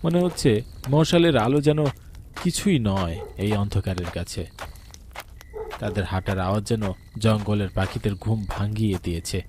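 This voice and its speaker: A man's voice: fairly low pitch, moderate pace, long pauses, darkish tone, slight background noise. A man reading a scripted horror story as narrator, dramatic and suspenseful.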